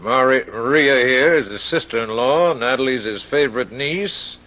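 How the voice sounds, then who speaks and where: A dull vintage radio recording of a blunt murder suspect played by a man. His medium-pitched voice is dry and assertive, needling others in a mystery drama. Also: normal speed, natural voice. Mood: pointed. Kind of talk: old-time radio mystery acting.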